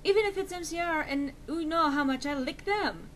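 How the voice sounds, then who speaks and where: A woman's voice: high voice, normal speed, fairly bright tone, voice pushed up. A woman voicing a melodramatic, easily outraged teenage goth girl in a dramatic story reading, gushing and spelling out slang initials. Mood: indignant. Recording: noisy amateur home recording.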